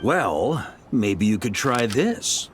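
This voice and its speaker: An actor playing a composed, scholarly young male knight in a game, calmly explaining things. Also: lowish voice, slow. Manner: calm, articulate explainer. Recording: clear game music behind.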